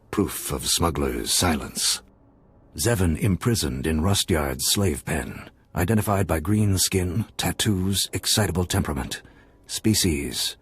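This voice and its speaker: An actor playing a gruff, secretive alien fixer in a video game, talking in clipped, telegraphic broken phrases with a husky voice. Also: male voice, low voice, heavily acted.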